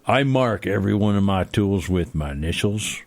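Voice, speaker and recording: A man plays a gruff frontier handyman in a radio comedy. His voice is low and creaky, full of bluster and bragging. It is recorded dry. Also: lively intonation, normal speed, no echo. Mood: bragging, grumbling. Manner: blustering, boastful. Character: gruff, bragging, grimy-handed male Old West handyman rival. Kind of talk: comedy radio play acting.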